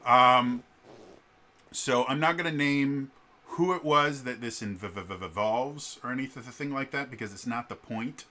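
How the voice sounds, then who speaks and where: Guy ranting on his vlog, loud voice, keeps stumbling on word starts, lots of ums. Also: mid-range voice, a little slow. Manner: repeats word starts, stammering, many fillers. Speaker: vlogger. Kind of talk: ranting casual vlog monologue.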